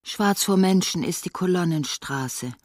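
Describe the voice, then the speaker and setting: A woman's voice: fairly low pitch, a little slow, a little mellow, slight background noise, close to an everyday voice. A woman narrating a literary radio drama, telling of events in a city. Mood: narrative.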